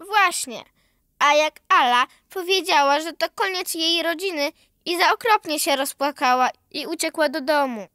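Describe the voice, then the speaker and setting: A woman's voice: high-pitched, moderate pace, sharp tone, no echo, studio quality. A woman playing an earnest, precocious, worried little granddaughter in a children's radio play.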